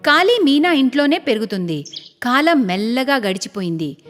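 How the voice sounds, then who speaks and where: A woman narrator with a high, animated voice tells a scripted moral tale expressively. Her voice carries long reverb with music underneath. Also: normal speed. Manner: expressive storytelling.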